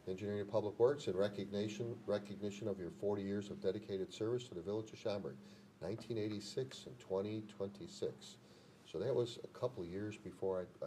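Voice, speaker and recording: Older American male board chair, deep voice, congested nose, procedural yet casual delivery, noisy meeting room recording. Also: dark tone. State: nasal from a head cold. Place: municipal board meeting hall.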